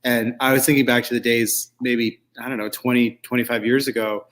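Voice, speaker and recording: A man's voice: mid-range voice, little pitch movement, a little fast, fairly bright tone. Bantering male talk show host. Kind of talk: casual, joking interview chat.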